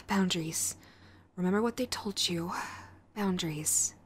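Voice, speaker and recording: A woman playing a sweet, clingy yandere girlfriend in an audio roleplay, nervous and halting, gushing and apologetic as she tries to reform. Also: fairly low pitch, a little hiss or hum, natural voice. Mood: sheepish, longing. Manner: halting, gushing, apologetic, swinging between eager and sheepish. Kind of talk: romantic audio roleplay, acted to the listener.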